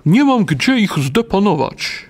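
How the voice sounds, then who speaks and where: An actor playing a grateful, dignified elderly knight in an audio play, speaking in a measured, ceremonious way. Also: male voice, high-pitched, sing-song, husky, exaggerated voice. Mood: solemn, grateful. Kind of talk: dramatic audio play acting.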